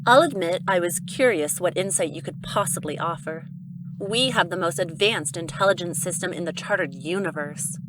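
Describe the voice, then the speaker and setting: A woman's voice: on the low side, moderate pace, noisy background, lightly acted. A woman playing an imperious alien leader in an audio drama, speaking in a formal, coolly authoritative way. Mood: cool, superior. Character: imperious cold female alien leader judging humanity.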